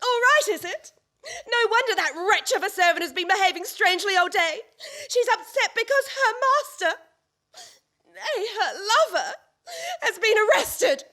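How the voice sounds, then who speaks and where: A woman's voice: extremely high pitch, expressive, measured pace, pushed and bright, exaggerated voice. A woman playing an indignant, vengeful wife in a farcical radio play, declaiming theatrically with many exclamations. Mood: bitterly indignant. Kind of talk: stage farce performed as radio play.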